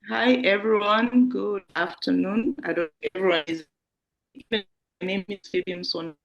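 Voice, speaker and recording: A woman's voice: medium pitch, a little slow, creaky. A woman, a regional coordinator, giving a hesitant introduction over muffled call audio. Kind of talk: brief self-introduction.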